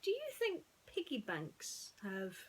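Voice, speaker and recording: A woman's voice: mid-range voice, wildly swooping pitch, slow pace, slight background noise, rough recording. Musing woman storyteller with mid-range voice. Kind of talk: personal chat and bedtime story reading.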